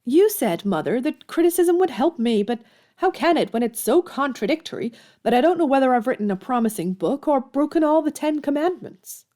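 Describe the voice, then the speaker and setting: A woman's voice: high voice, animated, fairly quick, dark tone. A woman playing a headstrong young writer in a period audio drama, outspoken and emphatic. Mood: indignant.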